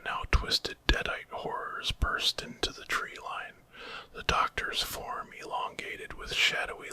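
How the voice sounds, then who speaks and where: Guy whispering a scary story asmr style, all breath no voice, really bright and dramatic. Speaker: storyteller, narrator.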